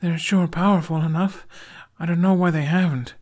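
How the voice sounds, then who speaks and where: Man acting out a young boy, high-pitched, eager, sheepish, trusting, storybook character. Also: fairly even intonation, normal speed, a little mellow, lightly acted. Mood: worried. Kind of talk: fan fiction audiobook character voice.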